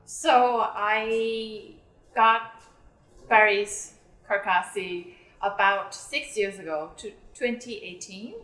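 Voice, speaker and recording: This is a young woman, born in South Korea and raised in England, who talks earnestly about playing bass in an orchestra. Her voice sits at a middle pitch, and she speaks with easy pauses and fillers. The room around her has a clear echo. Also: a bit bright. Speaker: orchestral bassist. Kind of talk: interview talk. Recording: roomy echo.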